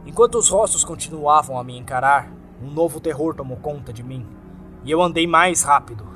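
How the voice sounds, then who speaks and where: Male Brazilian Portuguese horror narrator, slightly high register, very animated scripted delivery over faint music. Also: a little fast. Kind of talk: dramatic scripted narration of a first-person horror story. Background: soft background music.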